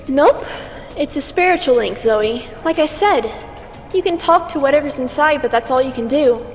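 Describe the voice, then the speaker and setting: A woman's voice: high voice, expressive, normal speed. A woman playing a condescending, teasing mentor, an immortal aspect of Twilight in a comic dub, lecturing in a theatrical, sardonic way. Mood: cautioning. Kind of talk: scripted fan comic dub acting. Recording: long reverb over music.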